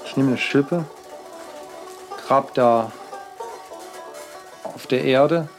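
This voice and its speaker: Guy rambling about his art, stops and restarts a lot, lots of uh and um, mid pitch, faint music underneath. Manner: halting, with frequent fillers and restarts. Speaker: artist. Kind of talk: rambling personal account in a radio feature.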